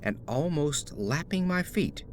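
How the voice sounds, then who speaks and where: A man's voice: fairly high pitch, expressive, a little slow, background noise. A man playing a haunted narrator, telling a horror story in a formal, literary, dramatic first-person style. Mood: dazed, spellbound. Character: haunted man recounting a waking nightmare.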